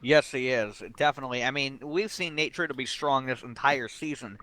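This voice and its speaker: A man's voice: mid-range voice, brisk, pushed and bright. A man doing live sim racing commentary as a host, mixing analysis with casual banter.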